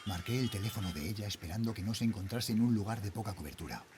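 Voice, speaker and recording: Casting: male actor for anxious father working night security, Spanish accent from Spain, low register, highly expressive intonation, horror audio fiction. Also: fast, over music, natural voice. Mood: uneasy, brooding. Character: worried father, a night security guard narrating a horror tale. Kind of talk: scripted first-person horror audio drama narration.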